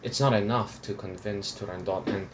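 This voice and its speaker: A man's voice: lowish voice, steady tone, moderate pace, slight background noise. A man from Hong Kong reviewing opera recordings in a rambling, casual way, full of restarts and fillers. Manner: rambling, many restarts and fillers, laughs between points.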